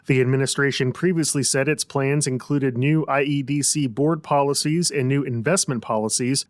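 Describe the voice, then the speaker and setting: Casting: American man, radio news anchor, scripted bulletin read, middle pitch, very dry close-miked sound. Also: dry recording. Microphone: close studio mic.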